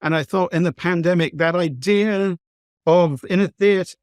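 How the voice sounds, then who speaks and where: A man from the east of England with an English accent, a novelist talking thoughtfully in an interview, pausing with frequent ums and restarts. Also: high voice, no echo, studio quality. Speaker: guest novelist. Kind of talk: conversational interview.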